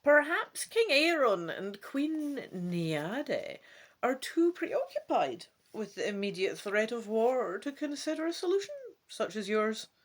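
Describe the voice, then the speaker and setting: A woman acts out a kindly old female bookseller from a fantasy storybook. She speaks slowly and deliberately, stretching words with shrewd, mysterious theatricality. Her pitch swoops expressively. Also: very animated, exaggerated voice. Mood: smooth, measured. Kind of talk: dramatic gamebook reading.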